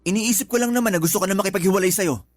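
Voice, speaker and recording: Male actor as hurt Filipino live-in partner, high-pitched, earnest, reproachful, worn out, radio romance drama, faint music. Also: racing, lightly acted. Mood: weary, frustrated.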